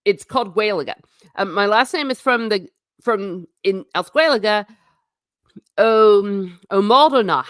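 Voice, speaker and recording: A woman runs a casual livestream, reading out her chat and answering it in a wry, rambling way. Her voice sits at an ordinary middle pitch, and she restarts her sentences often. It is recorded dry and close, with no room sound. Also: expressive, slow, no room sound. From United States. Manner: chatty, wry and tangential, with frequent restarts.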